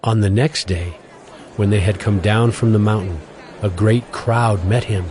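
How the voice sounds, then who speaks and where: A man narrating scripture from a script as a measured storyteller. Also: lowish voice, fairly even intonation, a little mellow, a faint crowd, a little hiss or hum. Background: music.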